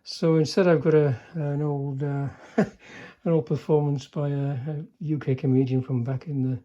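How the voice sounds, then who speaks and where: A man's voice: a little high, steady tone, normal speed, mellow, highs cut off. An older man giving a casual hands-on demonstration, speaking in an understated, hesitant way with trailing remarks. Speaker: radio repairer demonstrating a restored chassis.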